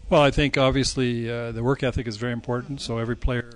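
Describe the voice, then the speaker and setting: A middle-aged native English-speaking man, a coach, answering interview questions into a handheld mic, relaxed and steady. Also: medium pitch, quick pace. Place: indoor press wall, small room. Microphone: handheld mic held by interviewer.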